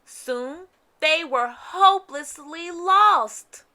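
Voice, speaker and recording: A woman narrating a children's storybook in an expressive read-aloud style with deliberate phrasing. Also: very high-pitched.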